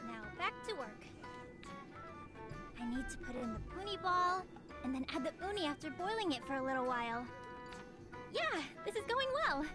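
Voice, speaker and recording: A woman voicing a cheerful, clumsy teenage apprentice alchemist in an anime-style game, expressive and earnest. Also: high-pitched, moderate pace, long pauses. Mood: busy, flustered. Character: cheerful, clumsy sixteen-year-old girl apprentice alchemist. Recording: game music playing behind the voice.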